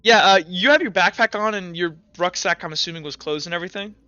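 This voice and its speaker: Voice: mid-range voice, fast talker, bright, forceful tone, smooth, no room sound. A game master narrating a tabletop roleplay session, describing scenes in long, trailing sentences full of fillers. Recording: dull lo-fi recording.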